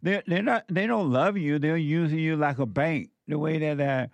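Host: man with a fairly high voice, blunt and brisk, dry close-up studio mic. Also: gravelly, dry recording, muffled recording. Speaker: talk show host. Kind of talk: call-in talk radio.

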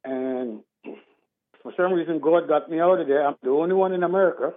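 Caller: man, rambling and earnest, fairly high voice over a tinny phone line. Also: a little fast. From Trinidad. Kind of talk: call-in talk radio.

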